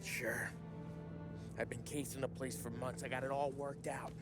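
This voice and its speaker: A man's voice: mid-range voice, level tone, moderate pace, over loud music, close to an everyday voice. An actor playing a restless, wisecracking mob crewman who talks in a brash, defensive way. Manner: brash, defensive, hot-headed. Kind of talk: video game cutscene acting. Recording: game cutscene with loud score.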